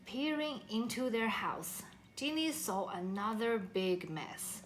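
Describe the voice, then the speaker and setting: A woman narrating a children's storybook aloud in an expressive, deliberate read-aloud style. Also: medium pitch, slight background noise, poor audio. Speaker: story reader.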